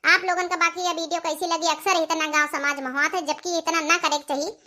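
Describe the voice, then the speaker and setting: An outspoken, moralising village sister-in-law played by a woman in an extremely high, bright cartoon voice. Her Awadhi-accented talk is earnest, dramatic and repetitive. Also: little pitch movement, fairly quick. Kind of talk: comic village cartoon dialogue.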